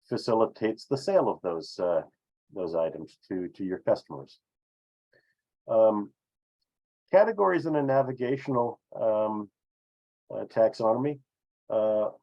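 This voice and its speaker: A man presenting an explanatory business webinar with slides, speaking haltingly with frequent filled pauses. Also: lowish voice, mellow. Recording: dull, lo-fi webinar audio.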